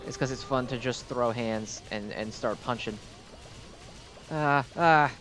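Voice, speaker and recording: Guy doing chill gameplay commentary, rambles and gets sidetracked, normal pitch, music in the back. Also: unhurried, fairly bright tone. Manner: rambling, easily distracted delivery. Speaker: gaming video host. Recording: game music behind the voice. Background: game music.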